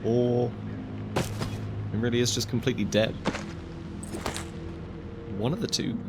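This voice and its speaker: A man's voice: mid-range voice, steady tone, slow, noisy background. A young man casually commenting while playing a VR game, chatty and joking, with wry asides to the viewer. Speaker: gaming video host. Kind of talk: casual let's-play commentary.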